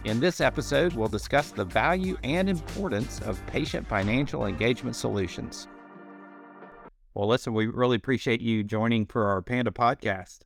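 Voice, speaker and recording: A man's voice: mid-range voice, moderate pace, gravelly, faint music behind. A middle-aged man hosting a podcast interview, upbeat and complimentary as he brings in his guest. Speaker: podcast host, company CEO.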